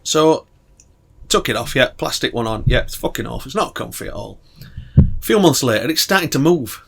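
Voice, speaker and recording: Animated man telling crude stories. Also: medium pitch, normal speed, vocal fry, background noise. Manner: crude, laughing storyteller, gets loud when worked up. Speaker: podcast co-host. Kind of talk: casual banter.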